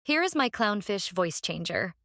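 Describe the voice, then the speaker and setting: Dry-sounding woman giving a software tutorial. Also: medium pitch, very animated, moderate pace, dry recording. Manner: instructional, often checking with "right?". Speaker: tutorial host. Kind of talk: casual step-by-step software tutorial.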